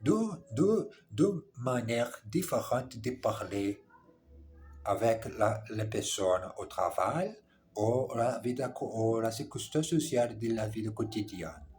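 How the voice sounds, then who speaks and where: A man's voice: fairly low pitch, expressive, slow, a little mellow. A man practicing French on a phone camera, repeating and correcting himself as he reads dialogue lines aloud. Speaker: language learner. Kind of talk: casual language practice vlog.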